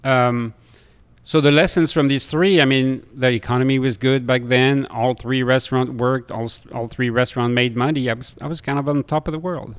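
A middle-aged man from France with a French accent, telling stories in an interview in a halting way, often hesitating and repeating words. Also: mid-range voice, fairly even intonation, smooth. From Lille, France. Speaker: restaurateur being interviewed. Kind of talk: conversational interview storytelling.

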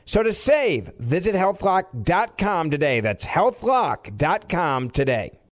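A man's voice: on the high side, sing-song, slow pace. A man narrating a scripted advertisement as an announcer.

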